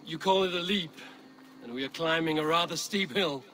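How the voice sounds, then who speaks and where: An actor playing a proud, skeptical Viking warrior in a video game, with a dry, wry delivery and old-fashioned phrasing. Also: male voice, fairly high pitch, normal speed, sharp tone. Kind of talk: video game cutscene acting.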